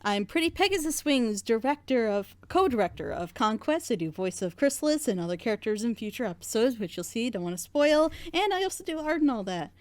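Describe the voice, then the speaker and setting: A woman with an excitable, giggly way of talking, chatting casually as host of a group reaction session. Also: a little high, brisk, few pauses. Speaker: host, co-director of a fan audio drama group.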